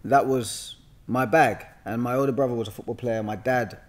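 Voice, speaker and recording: A man's voice: medium pitch, steady tone, normal speed. London man telling his story casually. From London, England. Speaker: guest actor. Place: bright indoor room with sofa. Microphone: podcast microphone on boom arm.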